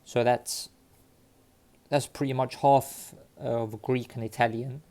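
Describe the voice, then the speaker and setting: A man from Cyprus talking casually to his viewers, halting often with fillers and restarts. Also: medium pitch, little pitch movement, warm tone. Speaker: vlogger sharing DNA results. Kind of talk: casual talk to camera. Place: London.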